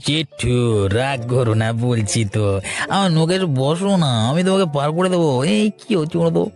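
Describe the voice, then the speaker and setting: A talkative village man, acted by a man who rattles along quickly in a cartoon folk tale. He is teasing and placating, always eager to please, with music faintly behind. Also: on the high side, a little mellow, lightly acted. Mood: coaxing. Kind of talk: dramatized cartoon story dialogue.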